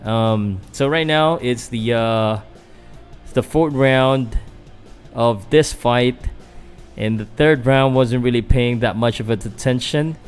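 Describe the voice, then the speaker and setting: A man's voice: mid-range voice, slow pace, clear, resonant tone, faint music behind. A young man from the Philippines giving loose live commentary on a boxing fight, full of fillers, chatting with viewers as he goes. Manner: casual, hesitant, many fillers, answers chat. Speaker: boxing commentator and channel host.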